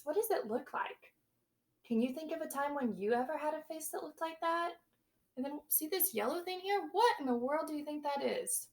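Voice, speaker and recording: A woman teacher reading a picture book aloud to young children, stopping to ask them questions and explain words. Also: sits high.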